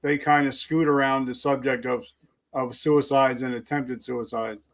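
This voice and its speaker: Gravelly man hosting an interview. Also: medium pitch, steady tone, normal speed. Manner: direct, calls guest brother. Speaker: podcast host, retired army sergeant.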